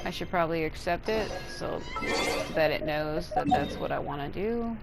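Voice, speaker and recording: Woman, low-pitched, tired, casual gaming commentary, rambling, game music in the background. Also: expressive, moderate pace, creaky, rough recording. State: unwell, tired. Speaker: gaming streamer. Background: video game music.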